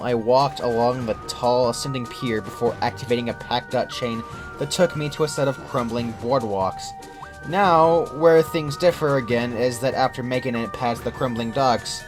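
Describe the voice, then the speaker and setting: A young adult man reading a scripted first-person creepypasta as its narrator. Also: medium pitch. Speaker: horror story narrator.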